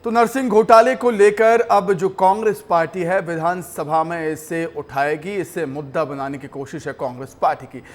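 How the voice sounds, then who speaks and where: A man's voice: fairly high pitch, fairly even intonation, moderate pace, few pauses. A man reading television news as an anchor, in an emphatic style that repeats headlines, with music behind. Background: news music bed.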